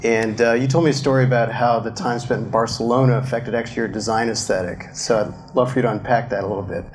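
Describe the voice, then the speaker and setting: A man's voice: medium pitch, steady tone, quick pace. A man moderating an onstage fireside chat, upbeat and chatty, with a lot of "um" and "uh". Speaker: interviewer, moderator. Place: event stage in front of an audience.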